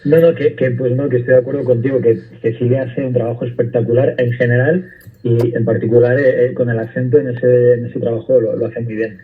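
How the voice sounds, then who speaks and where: A man's voice: mid-range voice, little pitch movement, a little fast. A man, an actor being interviewed on the radio, explaining his work thoughtfully at length with small restarts. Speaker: actor guest. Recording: phone-like line.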